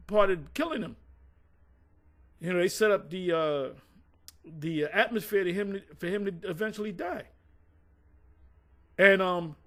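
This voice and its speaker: A man in a casual conversation, recounting past events bluntly and with rough language, often restarting his sentences. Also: sits high. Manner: blunt, profane, rambling with many restarts. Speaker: former group member recounting events.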